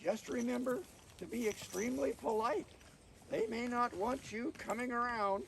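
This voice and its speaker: A man's voice: extremely high pitch, moderate pace, fairly bright tone, pitched above the performer's own voice. A man voicing a wise old female raven giving instruction, in an outdoor storybook reading. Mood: cautioning. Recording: distant mic, noisy outdoor recording.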